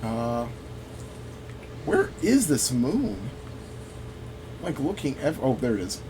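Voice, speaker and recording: A man's voice: mid-range voice, huge pitch swings, slow, background noise. A man giving casual gameplay commentary, rambling and thinking aloud as he plays. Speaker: gaming video host.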